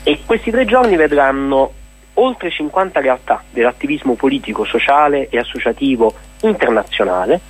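A man's voice: medium pitch, expressive, moderate pace, slightly rough, no echo, rough recording. A man, an activist guest giving earnest, long, spontaneous explanations in a radio interview. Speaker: activist guest presenting an event.

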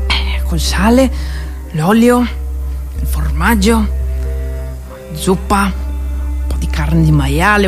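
A woman's voice: lowish voice, close to an everyday voice. An actress playing a weary, hungry but feisty mountain peasant woman, earthy and wry, in a theatrical monologue. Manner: earthy, wry, sighing with effort.

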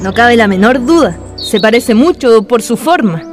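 A polite, eager young student played by a woman in a radio drama. The voice is high, with faint music behind it. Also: lively intonation, normal speed, close to an everyday voice. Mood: keen. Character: polite, keen young female history student. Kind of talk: amateur educational radio drama.

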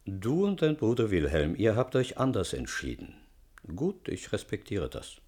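An actor playing a dignified, weary older father, speaking in measured, formal, eloquent phrases in a radio play. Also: fairly low pitch, wildly swooping pitch, a little mellow. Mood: resigned, solemn. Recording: music under studio radio drama. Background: music.

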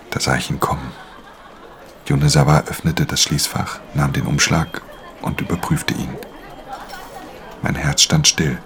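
A man playing a professional pickpocket who recounts his theft in measured, breathy, half-whispered radio drama narration. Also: rumbling low, a little hiss or hum. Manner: measured, hushed storytelling. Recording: music under the voice.

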